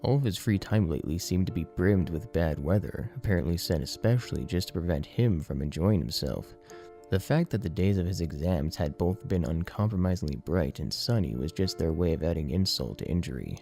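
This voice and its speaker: A man narrates a story in a very low, creaky voice with a dark, mellow tone. It is scripted audiobook reading, with faint music behind him. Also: expressive, a little fast.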